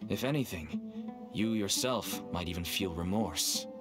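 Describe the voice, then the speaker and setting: A man's voice: low voice, a little slow. A man voicing a nervous, wheedling middle-aged father and dealer in a game, with a persuasive manner. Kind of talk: game voice acting. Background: game music.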